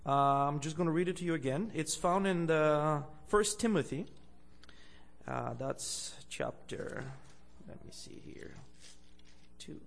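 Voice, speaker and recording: A young man from Bulgaria preaches as a guest in a church. His voice sits a little high and has a gravelly edge. He hesitates often with fillers, then swells louder over scripture. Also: a little slow. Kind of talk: sermon.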